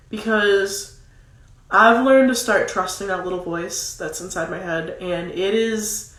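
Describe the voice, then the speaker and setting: Congested, nasal woman in an echoey room. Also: mid-range voice. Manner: emphatic, repeats key phrases for stress. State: congested with a cold. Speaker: motivational coach. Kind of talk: motivational advice talk, casual. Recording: roomy, echoing sound.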